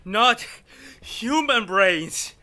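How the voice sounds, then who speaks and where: Frantic, high-pitched horrified husband. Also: male voice, big pitch swings, slow, exaggerated voice. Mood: horrified disbelief. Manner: high-strung, shouting, pleading. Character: frantic, horrified husband, a professor pleading helplessly. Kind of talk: old-time horror radio drama acting.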